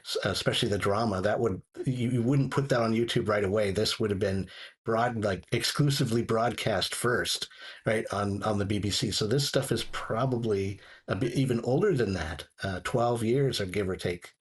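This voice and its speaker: Slightly low-voiced man reviewing, halting. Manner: halting, rambling, doubles back on phrases. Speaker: audio drama reviewer, show contributor. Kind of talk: casual review chat.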